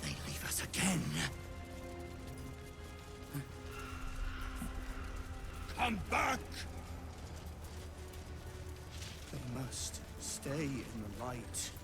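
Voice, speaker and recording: A man's voice: medium pitch, wildly swooping pitch, slow pace, over loud music. A man voicing a fanatical half-spider creature in a fantasy game, reverently devoted to his queen and lapsing from muttering into whispers and sudden cries. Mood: agitated pleading.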